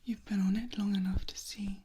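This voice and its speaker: A woman voicing a possessive female vampire in an ASMR roleplay, speaking in a soft breathy whisper with a formal, gently scolding manner. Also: medium pitch, steady tone, a little fast, very noisy, lightly acted. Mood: disdainful, soft. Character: possessive female vampire, scornful of humans, doting.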